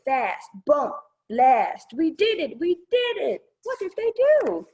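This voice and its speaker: A woman's voice: fairly high pitch, rough recording. A woman reading a children's picture book aloud at storytime, playful and sing-song, with simple asides explaining things to kids. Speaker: children's storytime reader at a public library.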